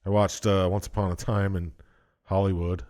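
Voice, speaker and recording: Man, lowish voice, blunt short answers, laid-back podcast chat. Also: flat delivery, moderate pace, a little mellow. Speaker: podcast co-host. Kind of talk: casual chat.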